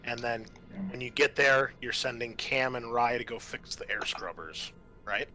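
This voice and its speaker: A man's voice: mid-range voice, moderate pace, pushed and bright, faint music behind. A man acting as game master, narrating a tabletop role-playing game in an informal way.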